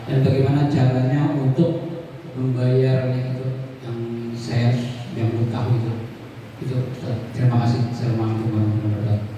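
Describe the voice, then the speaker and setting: A man's voice: medium pitch, completely flat delivery, brisk, background noise. A man, asking a question hesitantly as a member of a congregation. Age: 40s. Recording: muffled echoey mosque hall, distant mic.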